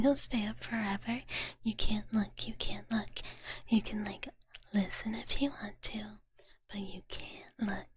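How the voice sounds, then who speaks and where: A woman livestreaming ASMR in a breathy whisper, chatting gently and playfully with her viewers, close to the mic. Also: medium pitch. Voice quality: breathy, mostly unvoiced whisper. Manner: gentle, playful, speaks of herself in third person. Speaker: livestream ASMR host in a virtual dragon big-sister persona.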